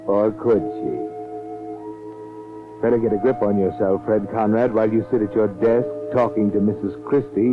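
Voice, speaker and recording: An ominous, knowing narrator of a forties radio mystery, needling and sardonic. His low voice comes through a thin, tinny recording over prominent music. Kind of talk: 1940s radio mystery narration in second person. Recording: tinny 1940s radio, loud music behind.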